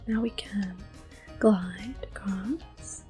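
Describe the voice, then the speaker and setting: A young woman whispering gentle, unhurried commentary while playing a video game for an ASMR video. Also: medium pitch, soft background music. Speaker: ASMR gaming host.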